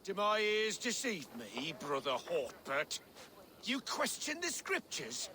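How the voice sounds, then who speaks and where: A zealous, domineering monk from a video game. His voice is high, very sharp and forceful, drawn out slowly with huge swings of pitch. He sounds pompous and accusing. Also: wildly swooping pitch, a little hiss or hum, heavily acted. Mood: outraged.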